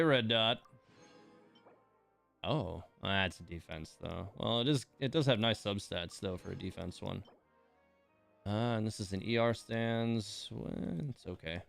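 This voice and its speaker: Low, creaky-voiced man streaming a game. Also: a bit bright. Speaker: livestreamer. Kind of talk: casual game livestream commentary.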